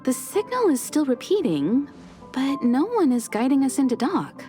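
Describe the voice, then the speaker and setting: A woman's voice: high-pitched, big pitch swings, moderate pace. Poised ship navigator lady from a game, melodic delivery, composed, bit of music. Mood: observant. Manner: composed, professional. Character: poised, assured adult female starship navigator.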